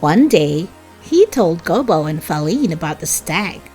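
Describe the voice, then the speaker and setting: A woman reads a children's story aloud. Her delivery is deliberate and slow, with richly varied, expressive intonation for young listeners. Soft music plays underneath. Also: lowish voice, rough recording. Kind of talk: children's read-aloud narration.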